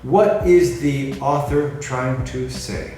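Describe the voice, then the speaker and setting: A middle-aged American man from California, talking casually to the camera in a measured way and often rephrasing himself. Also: medium pitch, a little mellow. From California, USA. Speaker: freelancer presenting himself. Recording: very echoey room, mic at a distance, music behind.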